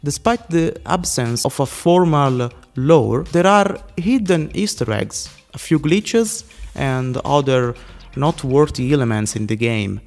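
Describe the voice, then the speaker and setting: A man with an Italian accent narrates a scripted video in a mid-range voice. He reads steadily and quickly and slips in the odd filler. Faint music plays underneath. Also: mid-range voice, darkish tone. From Italy. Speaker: YouTube narrator.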